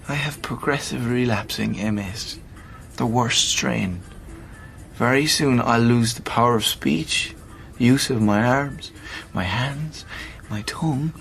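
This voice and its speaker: A man's voice: mid-range voice, vocal fry, fairly bright tone. An Irish man of about thirty who is seriously ill in a radio drama. He speaks bluntly and colloquially, with dry, sardonic determination. The delivery is naturalistic and understated. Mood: grave, determined. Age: 30s. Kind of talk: naturalistic radio play acting.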